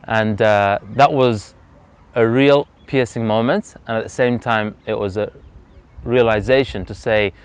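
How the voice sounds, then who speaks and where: A man, telling his personal story as an interview guest outdoors in earnest, reflective, measured speech. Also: lowish voice, fairly even intonation, clean tone. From Zambia. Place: outdoors on a freezing day. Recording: slightly dull lo-fi outdoor recording.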